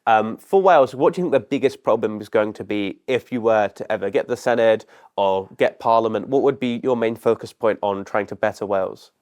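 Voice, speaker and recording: A man's voice: lowish voice, brisk, a little mellow. A man interviewing guests about politics, putting long questions to them.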